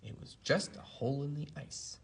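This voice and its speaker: Guy doing storytime for a kid, super raspy n gravelly, really expressive. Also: fairly high pitch, moderate pace. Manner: animated, acts out character lines. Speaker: storybook reader. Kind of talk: expressive read-aloud of a children's story, then casual chat.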